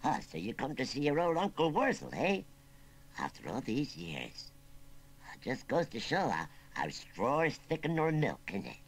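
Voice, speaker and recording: A man voicing a rustic, sentimental old scarecrow uncle with a West Country accent, rambling and plaintive, in a raspy storybook voice. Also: medium pitch, wildly swooping pitch, moderate pace, voice pushed up, heavily acted. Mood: fond, nosy. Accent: English West Country.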